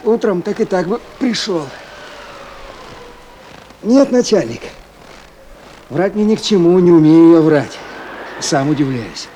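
A chatty, mellow-voiced petty crook. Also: male voice, high voice, animated, takes it slowly, pauses often, a little hiss or hum. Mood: pleading, chatty. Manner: colloquial, ingratiating, mock-rueful. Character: garrulous petty offender pleading with an official. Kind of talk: 1970s Soviet radio play acting.

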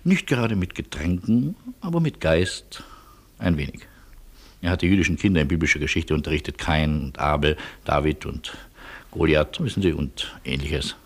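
An eloquent refugee in a radio play, speaking in a deep register. His delivery is husky, polite and drily ironic, with elaborate phrasing. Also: lively intonation, normal speed, heavily acted. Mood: politely ironic. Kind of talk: German radio play acting.

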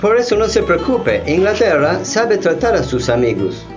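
A scheming male ambassador in a radio play, his higher-pitched voice swooping as he insinuates and persuades. The recording is a little muffled. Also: very animated, normal speed, music in the background. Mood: impatient, smug. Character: scheming, haughty British ambassador pushing neighbours to war. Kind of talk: radio drama acting.

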